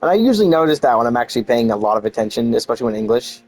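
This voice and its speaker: A man doing casual live-stream game commentary, soft-spoken yet projecting, rambling and thinking aloud. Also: medium pitch, big pitch swings, rapid-fire, faint music behind.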